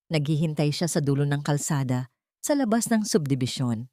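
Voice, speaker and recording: A woman with a Filipino accent voicing a fearful, loyal elderly cook who speaks in a hushed, urgent way. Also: deep voice, expressive, normal speed, no room sound, studio quality.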